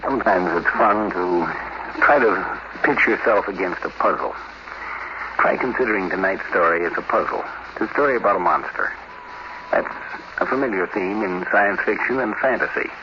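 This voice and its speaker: A man hosting a 1950s radio anthology, musing in a halting, conversational way. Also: deep voice, background noise. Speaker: host of a science fiction anthology. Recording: muffled vintage radio, loud music behind. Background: loud music.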